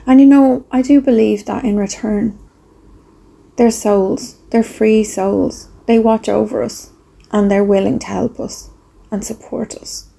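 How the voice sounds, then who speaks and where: Female meditation guide: unhurried, husky and breathy, soft reflective delivery with pauses. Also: fairly high pitch, steady tone.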